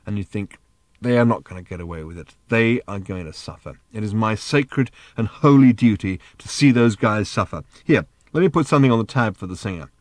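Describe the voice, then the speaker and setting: An offhand, scheming man, read by a male narrator in nearly his own voice, lowish and unhurried. Dry, close audiobook sound. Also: animated, no echo, close to an everyday voice. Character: laid-back scheming male traveller.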